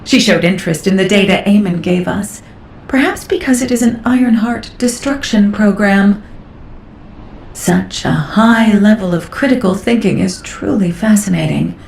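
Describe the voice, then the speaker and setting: A woman voices an analytical scientist in a video game. She talks deliberately and thoughtfully at a medium pitch, with a curious, cautious air. The audio is roomy with a faint noise. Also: vocal fry, a little mellow, lightly acted. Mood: upbeat, intrigued. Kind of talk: video game dialogue acting.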